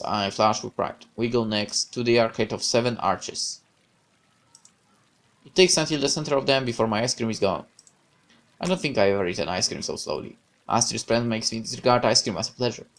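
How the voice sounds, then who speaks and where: A man reading a visual novel aloud in the first person and breaking off for hesitant, rambling asides full of fillers. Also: mid-range voice. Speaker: game streamer.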